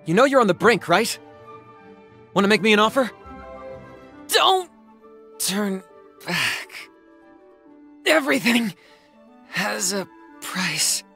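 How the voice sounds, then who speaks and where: A man voicing a calm, pragmatic young hunter in a video game, businesslike, deadpan and composed. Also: sits high, takes it slowly, creaky, pauses often, faint music behind, heavily acted. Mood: warning. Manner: deadpan, matter-of-fact, composed. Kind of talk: video game character voice acting.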